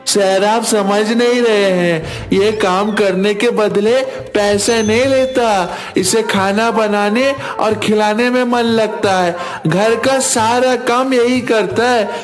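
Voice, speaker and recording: A man's voice: high voice, steady tone, takes it slowly, hardly any pauses, faint music behind. A man voicing an earnest, complaining young schoolboy in a cartoon. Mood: tattling. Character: tattling, teasing young schoolboys and a gentle food-loving boy. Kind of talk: Hindi cartoon dialogue.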